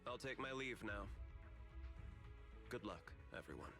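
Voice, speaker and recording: A shrewd corporate dealmaker from a video game. His voice sits fairly low, with smooth, courteous, measured delivery and a touch of theatre. Music plays clearly behind him. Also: lively intonation. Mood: cool, dismissive. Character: shrewd, calculating corporate man, politely self-serving.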